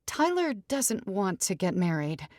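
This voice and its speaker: A woman voicing a hesitant, caring young maid of honor in an audiobook, careful and gentle in manner. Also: mid-range voice, big pitch swings, unhurried, no room sound, clean studio sound. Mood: gently firm. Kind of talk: audiobook character dialogue.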